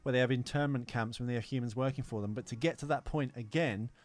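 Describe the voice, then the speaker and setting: A man's voice: mid-range voice, fast, dry recording. A man hosting a casual film podcast, talking in a rambling, hesitant, joking way. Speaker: podcast host. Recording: music behind the voice.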